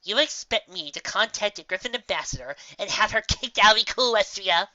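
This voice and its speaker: Storybook unicorn bureaucrat voiced by a woman: haughty, weary, dismissive, with a forceful edge and a close dry sound. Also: mid-range voice, animated, moderate pace, no room sound, lo-fi sound, pitched above the performer's own voice. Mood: officious. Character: officious, dismissive female unicorn court official.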